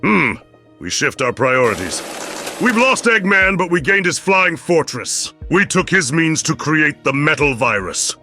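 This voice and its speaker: Cartoon villain leader, forceful, animated pitch, grandiose, mocking, music behind. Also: male voice, measured pace, full character voice. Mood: scheming. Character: smug, menacing villain leader commanding monsters. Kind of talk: comic fan dub voice acting.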